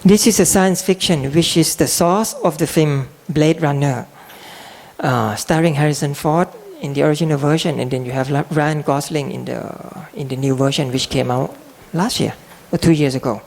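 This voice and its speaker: Gravelly Thai man giving a lecture. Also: a little high, a little hiss or hum. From Thailand. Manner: halting, restarts and repeats words, clears throat often. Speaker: guest lecturer and translator. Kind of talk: public lecture.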